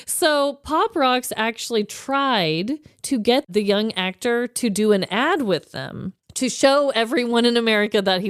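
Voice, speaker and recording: A woman co-hosting a podcast, telling stories from her notes in an informal, animated, emphatic way. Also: medium pitch, smooth, dry recording, clean studio sound. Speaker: podcast co-host. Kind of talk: chatty storytelling, reading quotes from notes.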